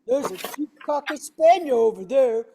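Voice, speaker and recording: A woman's voice: sits high, animated, normal speed, over loud music. A woman voicing an eager, lovestruck golden retriever in an amateur radio play, exclaiming with spoken barks. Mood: eager, smitten.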